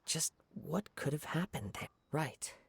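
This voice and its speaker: Young wandering samurai, soft husky breathy voice, calm, polite, contemplative, measured formal speech, video game. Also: male voice, a little high, dry recording, full character voice. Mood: pondering, calm. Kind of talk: video game character acting.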